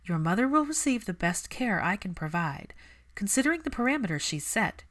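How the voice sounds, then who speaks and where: A woman's voice: mid-range voice, sing-song, brisk, fairly bright tone, voice pushed up. Narrator as a firm, articulate young woman healer. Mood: earnest, firm. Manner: earnest, precise, firm. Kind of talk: audiobook character dialogue.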